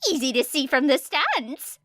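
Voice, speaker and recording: A woman voicing a brash, excitable teenage girl inventor in an audiobook story, boastful and eager. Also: high voice, moderate pace, dry recording, clean studio sound, voice pushed up, full character voice. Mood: excited.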